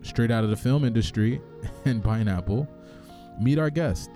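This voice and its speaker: A man's voice: medium pitch, moderate pace, dark tone, faint music behind. A man hosting a podcast, moving between scripted introduction and casual chat. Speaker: podcast host.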